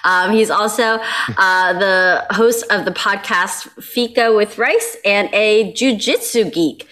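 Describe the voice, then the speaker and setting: A woman's voice: medium pitch, a bit bright. A woman co-hosting a podcast, upbeat and chatty, introducing a guest with a few ums. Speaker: podcast co-host.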